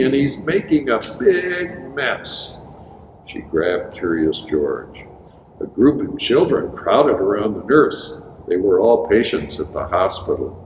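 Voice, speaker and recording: A man reading a children's storybook aloud, with expressive, emphatic phrasing for young listeners. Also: on the low side. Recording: echoey reverberant room with background hiss.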